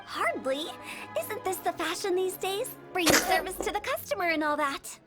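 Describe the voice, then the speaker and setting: Very high-voiced cheeky girl undertaker. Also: animated, moderate pace, loud music behind the voice. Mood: cheerful sales pitch. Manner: playful, theatrical, airily unbothered sales patter. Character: cheeky, morbid young female funeral parlor director. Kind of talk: video game voice acting.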